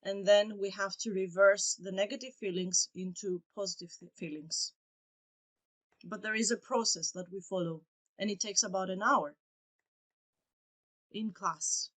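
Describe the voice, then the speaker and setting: Soft-spoken Greek-native woman guest. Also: mid-range voice, fairly even intonation, a little slow. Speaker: English teacher, guest. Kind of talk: casual interview answers.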